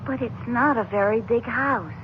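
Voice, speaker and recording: Woman acting as young boy, low for a child, eerie, insistent, simple deliberate phrasing, muffled noisy old radio drama. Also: natural voice. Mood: earnest, wondering.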